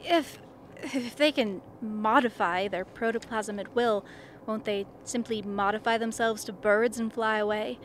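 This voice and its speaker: A woman's voice: fairly high pitch, a little slow, a little hiss or hum, close to an everyday voice. A woman playing a concerned, questioning expedition member in an amateur radio play.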